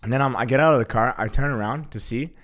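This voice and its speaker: A young man telling a story in a casual chat, animated, full of "like" fillers and swearing. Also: mid-range voice, quick pace. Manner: animated, heavy use of filler "like", swears freely. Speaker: guest.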